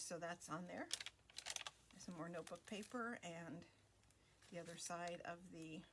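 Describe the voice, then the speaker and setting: A woman hosting a craft video, talking casually through a flip-through with many fillers and pauses. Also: fairly low pitch, noisy background.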